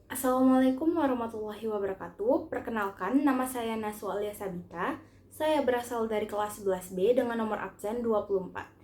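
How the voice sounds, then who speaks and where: Bright young female narrator, echoey room. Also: fairly high pitch. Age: teenager. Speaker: student narrator. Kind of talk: scripted short story narration, read aloud.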